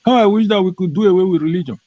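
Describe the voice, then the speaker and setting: A man giving impassioned political commentary on a call, forceful and scornful toward religious leaders. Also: high voice, very fast, dry recording. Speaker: panelist. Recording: muffled lo-fi call audio.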